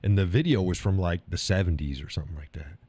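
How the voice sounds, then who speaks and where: A man's voice: low voice, fast, dark tone, breathy edge, dry recording. Blunt profane man hosting a live stream. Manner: blunt, profane, repeats phrases for emphasis; reads viewer chat comments aloud. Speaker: host of a live stream show. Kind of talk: casual opinionated live-stream commentary.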